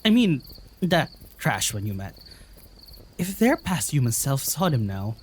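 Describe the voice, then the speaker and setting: A woman's voice: rumbling low, big pitch swings, measured pace, darkish tone, slight background noise, full character voice. A woman acting in an audio drama as a wry, defensive traveler with a dry, teasing manner.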